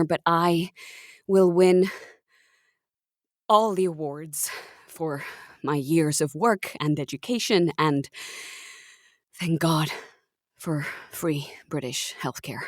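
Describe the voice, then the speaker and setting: A woman's voice: low-pitched, a little mellow, no room sound, studio quality. A woman playing a self-important, conspiratorial hospital patient who acts the investigator, confiding dramatically in the listener in a comic audio monologue. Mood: grandiose. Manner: dramatic, breathy asides to the listener.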